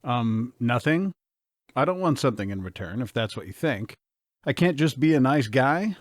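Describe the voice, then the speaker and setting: A man playing an easygoing, kind small-town man in an audiobook, talking in a relaxed, friendly way. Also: medium pitch, moderate pace, no room sound, clean studio sound, close to an everyday voice.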